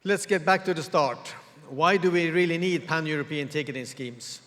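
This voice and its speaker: A man from Norway gives a formal conference presentation in English, measured and pausing often to find his words. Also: a little high, a bit bright. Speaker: conference speaker. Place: large conference hall. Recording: reverberant hall with long echo.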